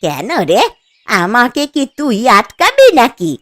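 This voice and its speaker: A woman's voice: a little high, wildly swooping pitch, slow pace, dry recording, clean studio sound, full character voice. A woman playing a poor, feisty elderly village mother-in-law in a cartoon story, with a plaintive, homely manner. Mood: indignant, distressed. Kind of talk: Bengali cartoon story acting.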